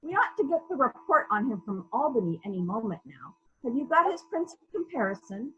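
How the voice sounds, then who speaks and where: A woman's voice: sits high, big pitch swings, brisk, fairly bright tone, muffled recording. A woman playing a probing, methodical female police detective in a dramatic reading of a murder mystery, musing and questioning as she goes. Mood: skeptical. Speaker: actor in a library's dramatic reading.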